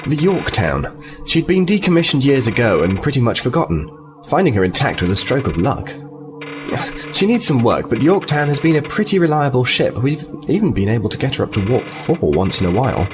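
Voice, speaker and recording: Man as lively-pitched, earnest young-officer type lieutenant. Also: a little fast, music in the background, lightly acted. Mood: composed, cordial. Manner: polite, explanatory, composed. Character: earnest, diplomatic male starfleet lieutenant, liaison officer. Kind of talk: sci-fi audio drama acting.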